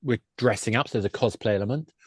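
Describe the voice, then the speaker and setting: A man's voice: mid-range voice, fast, breathy edge, no room sound, studio quality. A man speaking as an enthusiastic podcast guest, explaining games in a conversational way.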